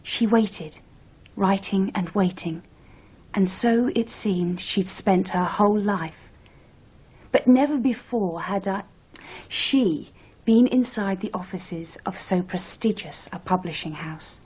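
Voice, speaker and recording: A dreamy woman writer in a radio play, dramatising her own life as literary narration. She speaks slowly and huskily. The recording is slightly dull. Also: medium pitch, a little mellow, breathy edge. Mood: brooding, literary. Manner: deliberate, self-dramatising. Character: dreamy, self-narrating aspiring woman novelist.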